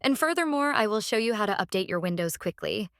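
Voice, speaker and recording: A woman who speaks English as a second language hosts a step-by-step software tutorial in a halting, repetitive instructional way. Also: medium pitch, expressive, clear, resonant tone, no room sound, studio quality. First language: non-native English speaker. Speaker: tutorial host.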